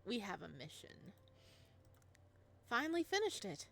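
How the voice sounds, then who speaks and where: A woman reading a visual novel aloud and gushing over it in playful, animated commentary. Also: medium pitch, unhurried, gravelly, soft background music. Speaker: gaming video creator.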